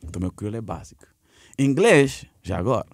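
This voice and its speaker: A man's voice: fairly low pitch, big pitch swings, brisk, gravelly, no room sound, studio quality. A man, a guest chatting casually on a radio show, laughing easily and slipping into English phrases. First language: Dutch. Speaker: guest singer. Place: radio studio. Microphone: studio mic with headphones.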